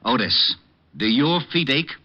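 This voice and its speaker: A man playing a gruff, sarcastic, exasperated police lieutenant in an old radio drama. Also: mid-range voice, expressive, a little slow. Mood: vengeful. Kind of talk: 1940s radio drama acting. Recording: muffled old radio recording.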